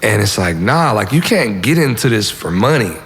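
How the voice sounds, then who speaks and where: A man's voice: fairly low pitch, big pitch swings, moderate pace, fairly bright tone. A young man from Southern California, talking in a casual, slangy way as a musician being interviewed. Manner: slangy, informal. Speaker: musician guest. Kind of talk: casual interview, giving advice.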